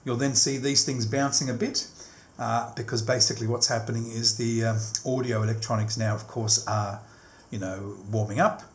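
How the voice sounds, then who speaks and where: Man, husky voice, medium pitch, casual hands-on tutorial, explanatory, rephrases instructions. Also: fairly even intonation, normal speed, hardly any pauses. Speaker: product demonstrator.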